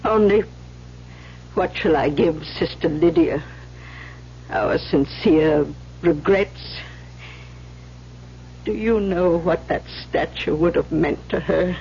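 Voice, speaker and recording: An actress playing a stern older Mother Superior in an old radio drama, scolding in sharp, weighty questions on a muffled, humming recording. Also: lowish voice, slow, vocal fry, long pauses, a little hiss or hum. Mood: reproachful, weary.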